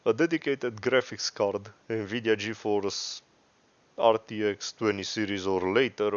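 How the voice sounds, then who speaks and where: A man with a Romanian accent giving a casual, unscripted software tutorial, rambling and hesitant, often correcting himself. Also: on the low side, clear, resonant tone, dry recording. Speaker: tutorial host. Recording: dry, dull lo-fi microphone.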